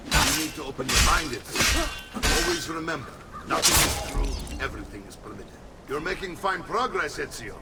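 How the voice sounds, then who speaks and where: A man's voice: a little high, lively intonation, moderate pace, very noisy. An actor playing a stern, fatherly, middle-aged Italian uncle and mercenary mentor in a video game, speaking emphatically with an Italian accent and sprinkling in Italian phrases. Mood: teaching, grave. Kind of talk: dramatic video game voice acting.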